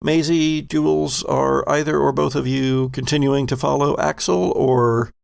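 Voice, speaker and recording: A man running a tabletop game as game master, setting scenes with deliberate narration and frequent fillers. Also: medium pitch, little pitch movement, vocal fry, clean tone.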